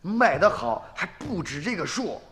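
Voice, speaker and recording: A man's voice: high-pitched, sing-song, normal speed, a bit bright, in a large hall. An actor playing a sly male grave robber posing as a junk collector in a radio drama, coaxing and probing as he talks. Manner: wheedling, probing small talk.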